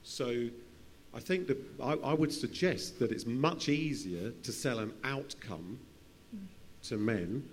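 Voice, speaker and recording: A man's voice: mid-range voice, darkish tone. A man speaking off the cuff on a conference panel, often repeating and restarting his phrases. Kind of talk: unscripted panel discussion. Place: conference session in a large room. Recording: hall reverb with faint hum and noise.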